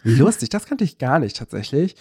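A young man explains things casually on a podcast, haltingly searching for words and correcting himself. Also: medium pitch, sing-song, dark tone, husky, no echo, studio quality. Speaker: podcast co-host.

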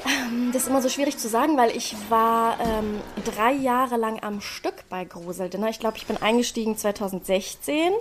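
A woman's voice: a little high, moderate pace, few pauses, a little hiss or hum. A woman, a stage actress, chatting casually in an interview, candid and slangy, often adding small tag questions.

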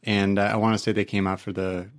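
Calm man with a lower voice. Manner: calm, measured, knowledgeable. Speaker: podcast guest. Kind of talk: casual chat.

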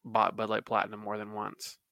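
Gravelly, bright-voiced man in his thirties. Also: on the low side, completely flat delivery, fairly quick, no room sound. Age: 30s. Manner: laid-back, chatty, trails off and laughs often. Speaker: podcast co-host. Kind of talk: casual chat.

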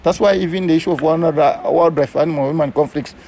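Zambian guy telling his story, soft mellow tone, plain talk, bit of noise behind him. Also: on the high side, steady tone, fast. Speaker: community conservation leader. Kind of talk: personal storytelling in an interview.

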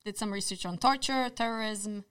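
A woman with a Polish accent speaks in a measured, thoughtful way, often pausing on fillers and restarting her thoughts. Her voice sits at an ordinary middle pitch for a woman. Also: fairly bright tone, clean tone. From Poland. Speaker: guest, social psychologist. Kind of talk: casual conversation.

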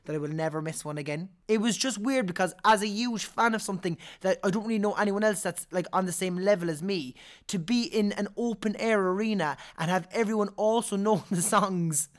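A man with a Cork Irish accent, hosting a podcast in a chatty, animated way, his voice hoarse from singing all weekend. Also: high-pitched. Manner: chatty, animated, quick to laugh. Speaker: podcast host. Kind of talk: casual chat.

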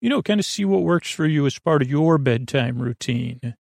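A man hosting a bedtime podcast in a meandering, halting monologue, trailing off mid-sentence and gently reassuring the listener. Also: a little high, expressive, vocal fry, no room sound, studio quality. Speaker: podcast host.

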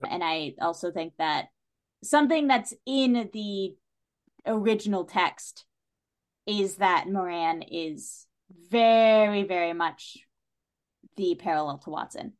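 A woman's voice: medium pitch, expressive, slow pace. A woman talking casually as a podcast guest, chatty and opinionated about stories, often putting emphasis on her points. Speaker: podcast guest, writer and director. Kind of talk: casual literary discussion.

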